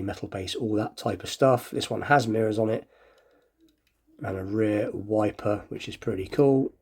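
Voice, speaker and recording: A man's voice: mid-range voice, darkish tone, husky. A man with a slightly nasal, stuffed-up voice from a cold, chatting casually and thinking aloud as he hosts a hobby unboxing video.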